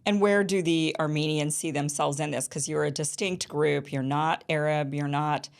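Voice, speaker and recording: Deep-voiced woman asking curious questions. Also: steady tone, gravelly. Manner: curious, quick follow-up questions. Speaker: host. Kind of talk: interview.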